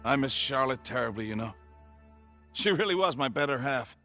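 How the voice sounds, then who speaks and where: An older scientist in a game cutscene, grieving yet gentle. His mid-pitched voice moves expressively as he reminisces in a halting, earnest way. Soft music plays behind him. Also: expressive. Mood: wistful. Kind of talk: video game cutscene voice acting.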